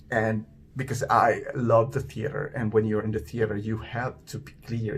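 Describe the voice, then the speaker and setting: Filipino man enunciating carefully, faint noise. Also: medium pitch. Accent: Filipino English, between American and British. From Philippines. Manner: careful enunciation, frequent restarts and pauses. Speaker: vlogger. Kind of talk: reflective solo monologue.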